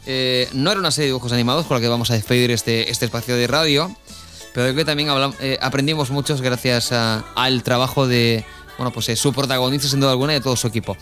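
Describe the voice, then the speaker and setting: A man's voice: medium pitch, fairly even intonation, fast, a bit bright. A man from Spain with a Spanish accent hosting a radio show, moving between casual chat and narration, sometimes searching for words. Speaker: radio host. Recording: music behind the voice. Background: music bed.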